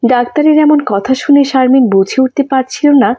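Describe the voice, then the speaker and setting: A woman narrator reads a scripted story in a mellow, warm tone. The audio is slightly dull and lo-fi. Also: sits high, moderate pace.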